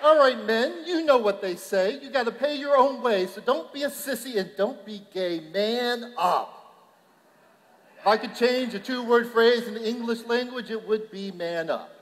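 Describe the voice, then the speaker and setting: High-voiced man performing slam poetry. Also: moderate pace. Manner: punchy, emphatic, declamatory delivery. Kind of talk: rhythmic slam poetry recitation. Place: large hall at a youth conference. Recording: reverberant large hall.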